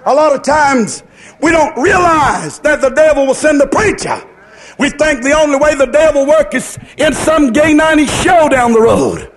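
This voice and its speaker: A male preacher with a very high-pitched voice delivers a fervent revivalist sermon. He declaims in a sing-song cadence, circling back on phrases for emphasis and pressing rhetorical questions on his listeners. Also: rough recording.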